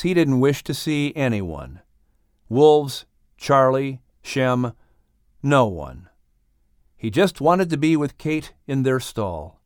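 A man's voice: medium pitch, clean tone, no room sound, studio quality. A man reading scripted announcements and story narration expressively, like an audiobook narrator.

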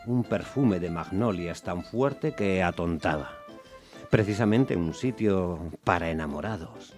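A man's voice: low-pitched, lively intonation, measured pace, a little mellow. An actor playing a weary, put-upon working-class taxi driver who tells his story in a colloquial, grumbling way in a radio drama. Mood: resigned narrating. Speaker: first-person narrator and lead actor. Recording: music under the voice. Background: music.

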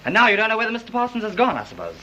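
A man's voice: high-pitched, huge pitch swings, racing, highs cut off, slight background noise, heavily acted. A man playing a stern, officious sound engineer in a 1930s British film. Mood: scolding. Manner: officious, sharp. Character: stern, urgent male sound engineer scolding staff.

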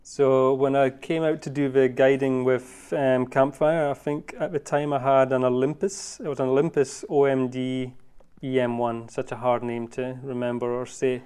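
Smooth-voiced man in casual chat. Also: medium pitch, flat delivery, moderate pace, warm tone. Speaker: guest wildlife photographer.

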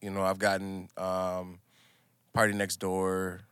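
Brief: young male podcast host, deep low voice, laid-back slang, dry close mic. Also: slow pace, smooth, no echo. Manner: relaxed slang, says "bro" constantly. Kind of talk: casual podcast chat.

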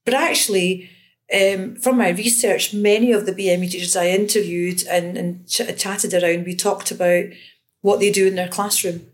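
A woman's voice: mid-range voice, steady tone, moderate pace. A woman in her fifties from Scotland, an education researcher and lecturer, talking through her research in an interview with frequent restarts and fillers. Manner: thoughtful, many restarts and fillers. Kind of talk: conversational interview.

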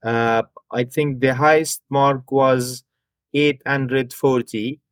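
A man's voice: medium pitch, steady tone, no room sound. Hesitant Afghan-born man, dry sound. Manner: halting, with frequent fillers and restarts. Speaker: guest. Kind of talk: career interview.

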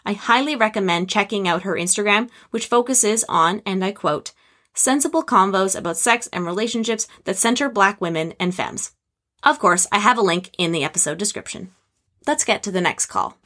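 Calm woman hosting an advice podcast. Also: mid-range voice, normal speed. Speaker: podcast host. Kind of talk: scripted advice segment.

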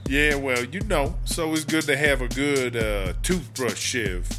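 A man playing a sassy, gossipy, foul-mouthed streetwise woman inmate in a comedy audio drama, with slangy, drawn-out exclamations. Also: mid-range voice, lightly acted. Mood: chatty. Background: music.

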